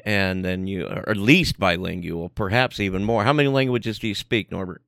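A man's voice: low voice, moderate pace, gravelly, fairly bright tone, no room sound, clean studio sound. A man co-hosting a podcast in casual chat, rambling and repeating words as he thinks aloud. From United States. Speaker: podcast co-host.

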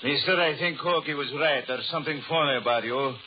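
A man's voice: fairly high pitch, a little fast, close to an everyday voice. A man playing a wary, uneasy American crook in a 1930s radio horror drama, terse and slangy. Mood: wary, puzzled. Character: wary tough-guy crook, big and uneasy. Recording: muffled, noisy 1930s radio recording.

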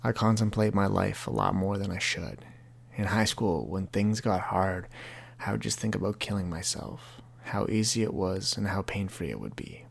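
Young male podcast host, gravelly, low-pitched, earnest and confessional, reads his own writing. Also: moderate pace. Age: 20s. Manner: earnest, confessional, heavy language. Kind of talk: reflective monologue reading from his book.

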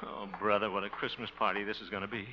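An actor playing a flustered, soft-hearted middle-aged American man in a 1950s radio comedy, blustery and given to muttering to himself. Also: fairly low pitch, flat delivery, fast, loud music behind the voice, natural voice. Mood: anxious. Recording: muffled, very noisy old radio recording.

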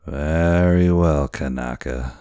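A man acts out a brash whaling boatswain from a storybook, drunken and suspicious. The voice is very deep and slow, full of blustering, defiant threats in rough sailor's talk. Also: mellow, no echo, clean studio sound. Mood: wary, threatening.

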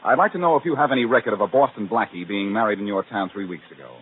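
An actor playing a wry, confident male detective, wisecracking in brisk 1940s radio style. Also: fairly low pitch, lightly acted. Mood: earnest. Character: wry, quick-witted amateur detective, confident man. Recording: tinny, noisy old radio recording.

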